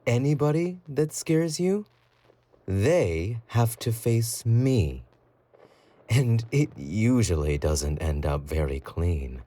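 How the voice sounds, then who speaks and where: A very slow, mellow male voice acted by a man as a possessive yandere boyfriend in a romantic audio roleplay. He coos intimately and breathily, swinging widely in pitch between doting sweetness and quiet menace. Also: animated. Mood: menacing, playful.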